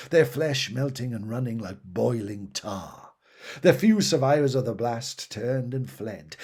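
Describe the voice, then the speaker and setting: Dramatic male fantasy storyteller, slightly husky. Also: medium pitch, darkish tone. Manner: theatrical, emphatic delivery. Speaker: audiobook reader.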